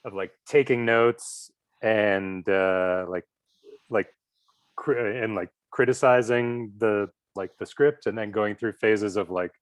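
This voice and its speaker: A man giving casual feedback as a co-writer, searching for his words in a halting way. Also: fairly low pitch, no echo. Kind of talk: casual chat.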